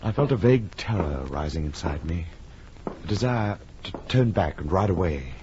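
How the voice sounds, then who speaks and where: An actor playing an uneasy, well-bred gentleman in a gothic horror radio drama of the 1940s, formal and dramatic. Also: sits low, expressive, normal speed. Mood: dread, brooding. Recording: muffled, faintly noisy old radio recording.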